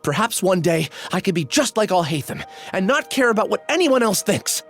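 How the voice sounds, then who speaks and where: An actor playing a gentle, remorseful young man in a video game, speaking in a reflective, confessional way. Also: high voice, sing-song, moderate pace, soft background music. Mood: reflective, hopeful. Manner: soft-hearted, reflective, confessional.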